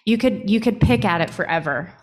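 A woman's voice: medium pitch, lively intonation, fairly quick. A woman who writes musicals, explaining things casually and matter-of-factly as an interview guest.

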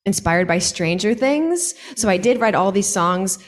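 A woman who is a songwriter, chatting casually as an interview guest in an animated, joking, self-deprecating way. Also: a little slow. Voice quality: low singing voice.

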